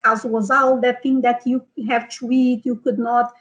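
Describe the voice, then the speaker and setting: A woman's voice: on the high side, little pitch movement, moderate pace. A middle-aged woman with a Brazilian Portuguese accent, presenting an informal health seminar and speaking directly to her audience. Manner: frequent fillers and restarts, speaks directly to the audience.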